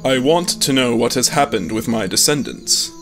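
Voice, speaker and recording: A man's voice: medium pitch, lively intonation, normal speed, over music, close to an everyday voice. Man acting a reflective, matter-of-fact god. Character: pragmatic, reflective god watching his descendants. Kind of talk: fantasy audio drama acting.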